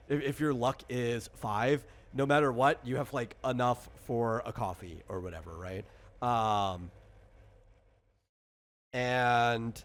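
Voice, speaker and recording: Casual male game master, mid-range voice, patient and slightly rambling rules explainer for a tabletop session. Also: medium pitch. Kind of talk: casual explanatory chat during character creation.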